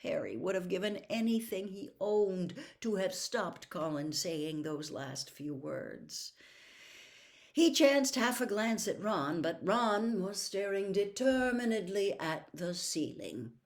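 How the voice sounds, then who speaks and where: A woman reading a storybook aloud as an expressive narrator. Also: medium pitch, slow pace. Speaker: audiobook reader.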